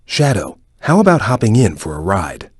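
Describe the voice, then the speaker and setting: A man playing a calm, serious ninja chameleon in a video game, speaking in a measured, stoic and focused way with a low, husky voice. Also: dry recording, studio quality, full character voice. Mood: calm, instructive. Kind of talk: video game voice lines, hints and tutorial instructions.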